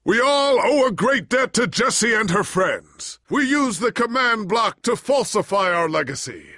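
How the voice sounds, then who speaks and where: An actor playing a proud but anxious, forgetful legendary warrior in a video game, with an earnest, formal, theatrical delivery. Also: male voice, high-pitched, big pitch swings, a little slow, sharp tone, dry recording, clean studio sound, exaggerated voice. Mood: proud, declaiming. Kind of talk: dramatic video game voice acting.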